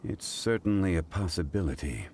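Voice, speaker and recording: An actor playing a composed, formal gentleman in a video game who reasons through clues aloud. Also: low voice, lively intonation, normal speed, breathy edge. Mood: analytical. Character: composed, ageless scholarly gentleman, calm and deductive.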